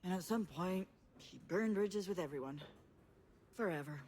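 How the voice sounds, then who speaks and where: A woman's voice: on the low side, expressive, normal speed, a little mellow, husky. A woman playing a vulnerable but blunt younger sister in a naturalistic video game drama, speaking candidly. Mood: hesitant, subdued.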